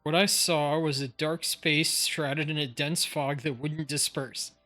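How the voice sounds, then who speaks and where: Bright-voiced game streamer, casual. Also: mid-range voice, fairly even intonation, measured pace, faint music behind. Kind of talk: live game commentary and reading game text aloud.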